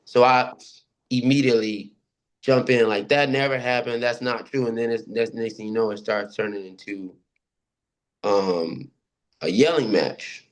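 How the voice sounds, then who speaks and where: A man shares personal experiences in a casual discussion. His voice is deep, and he tells things haltingly, often repeating a phrase before he moves on. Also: fairly even intonation. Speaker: participant sharing personal experiences.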